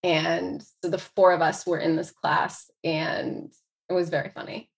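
A woman's voice: lowish voice, level tone, unhurried, vocal fry. Chatty American woman who laughs often. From United States. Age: 40s. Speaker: author guest. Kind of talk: casual conversational interview.

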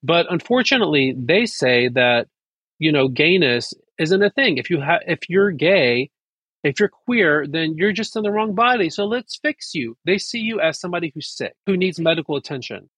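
A man, raised speaking Persian at home, talking in an animated way with quick bursts and restarts as an interview guest. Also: on the high side, breathy edge, no echo. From United States. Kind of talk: casual interview.

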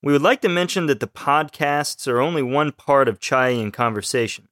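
A man's voice: medium pitch, normal speed, a bit bright, no echo, clean studio sound. Young Texan man co-hosting a lesson. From Texas, USA. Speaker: co-host, learner. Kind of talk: scripted podcast lesson.

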